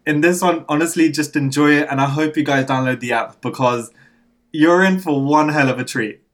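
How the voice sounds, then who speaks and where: A man hosting a podcast remotely from London, gushing and excitable, his voice slightly croaky after a coughing fit. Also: a little high, normal speed, soft background music. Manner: excitable, gushing, laughs often. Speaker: podcast host. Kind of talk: enthusiastic podcast interviewing. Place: home studio in London. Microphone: remote recording.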